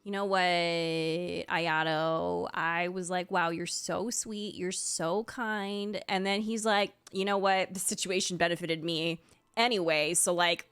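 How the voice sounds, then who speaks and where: A woman's voice: fairly low pitch, steady tone, takes it slowly, a bit bright, smooth. Gushing young woman streamer, casual chat. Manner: gushing, emotive. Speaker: streamer reacting to a game.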